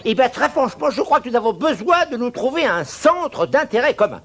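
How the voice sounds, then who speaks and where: An actor playing an excitable, grumpy old inventor in a French cartoon dub, theatrical and fussy in the way he talks. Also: very high-pitched, a little fast, background noise, full character voice. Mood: restless, lecturing. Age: older. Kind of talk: French-dubbed cartoon acting.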